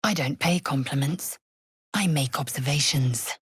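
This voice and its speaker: A low, husky female alien warrior from a fantasy video game. She is proud, fierce and zealous, speaking in measured, clipped phrases with imperious, archaic formality. The sound is close and dry. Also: dry recording, full character voice. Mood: stern, proud. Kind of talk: video game character voice acting.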